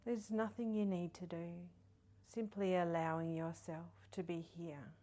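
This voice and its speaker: A woman leading a guided meditation in a soft, breathy, partly whispery voice, soothing and gentle with long pauses. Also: fairly low pitch, steady tone. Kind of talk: guided body scan meditation.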